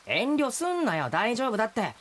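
A man's voice: high-pitched, wildly swooping pitch, moderate pace, fairly bright tone, pitched above the performer's own voice, full character voice. A man playing a friendly, insistent young boy in a radio drama, speaking casually and bluntly.

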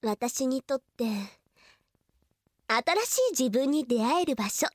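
A woman playing a glamorous female fashion model giving measured, posed interview answers in a scripted radio ad. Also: high-pitched, slight background noise. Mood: poised, dreamy. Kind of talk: scripted radio advertisement acting.

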